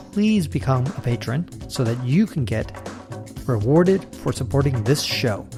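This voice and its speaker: A man's voice: medium pitch, darkish tone. Friendly male podcast host. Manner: friendly, conversational, chuckles at his own remarks. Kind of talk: podcast interview hosting.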